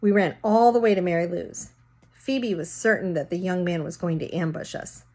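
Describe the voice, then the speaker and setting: A woman's voice: lowish voice, fairly even intonation, moderate pace, mellow. Woman reading a story aloud. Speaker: reader reading a children's novel aloud. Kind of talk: read-aloud storytelling with casual word explanations.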